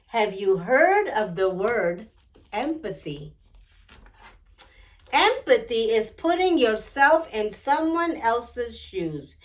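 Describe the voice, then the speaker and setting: Woman storyteller, high-pitched, very animated children's read-aloud, direct questions to young listeners, set back from the mic. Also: recorded from a distance. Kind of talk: expressive picture-book read-aloud for children.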